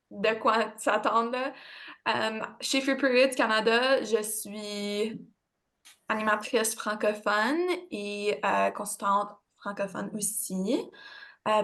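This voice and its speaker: Francophone facilitator woman, chatty and relaxed, pretty level even voice, video call. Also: mid-range voice, vocal fry. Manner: chatty and relaxed, with conversational fillers. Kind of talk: casual introduction on a video call.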